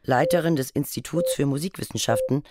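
A man's voice: fairly high pitch, a little fast, background noise. A man reading scripted radio documentary narration in a formal, factual manner. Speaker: feature narrator.

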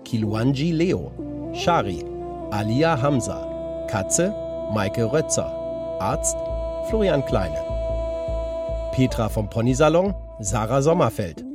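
A man's voice: mid-range voice, sing-song, slow pace, long pauses. A man reading scripted credits as the announcer of a children's radio play. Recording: loud music under voice.

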